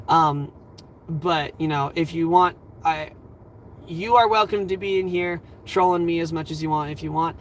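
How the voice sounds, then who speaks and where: A man in his twenties hosting a livestream, giving earnest advice in a rambling, halting way full of fillers, his voice a little scratchy and tired. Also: fairly high pitch. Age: 20s. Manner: earnest, reassuring. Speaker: livestream host.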